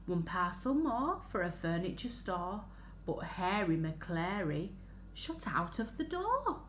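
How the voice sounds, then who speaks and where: A woman reads a picture book to little children in a slow, sing-song voice that rises and dips widely. She often turns to the listeners with simple questions. The room is echoey, with faint noise behind her. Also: on the low side, big pitch swings. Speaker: children's story reader. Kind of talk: picture-book read-aloud for young children. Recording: roomy echo, faint background noise.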